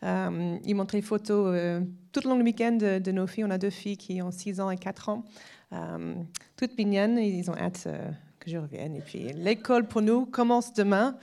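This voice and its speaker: American woman speaking halting French. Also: medium pitch, creaky, mellow. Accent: American English. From United States. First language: English. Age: 40s. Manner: halting, many hesitations, laughs lightly. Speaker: speaker at a church weekend. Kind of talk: personal testimony talk.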